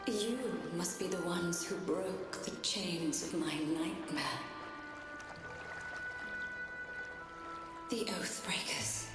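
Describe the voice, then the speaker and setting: An ancient spirit guardian speaks solemnly for a video game in a lowish, breathy voice. She takes her time over stately, old-fashioned phrases. Her words ring through a huge reverberant space with music behind. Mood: solemn, sorrowful. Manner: slow, archaic, stately phrasing.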